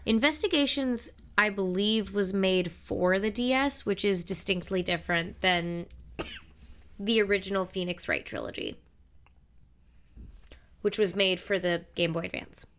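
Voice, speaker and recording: A woman's voice: medium pitch, sing-song, a little slow, fairly bright tone. A woman streamer chatting casually and laughing easily while playing a game together.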